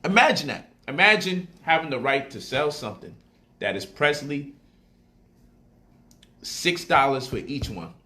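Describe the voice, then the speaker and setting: A man talking through stock options in a loose, slangy livestream style, and his voice comes across bright and forceful. He repeats lines to drive a point home and gets lively and playful as he goes on. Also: a little high, a little slow, pauses often. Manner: animated, slangy, repeats phrases for emphasis. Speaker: speaker explaining stock options to viewers. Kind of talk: casual livestream talk.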